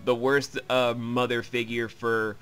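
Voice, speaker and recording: Man, let's play host, rambling wry commentary, clear forward voice, faint background music. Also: mid-range voice, level tone, unhurried, fairly bright tone. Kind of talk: casual visual novel commentary and recap.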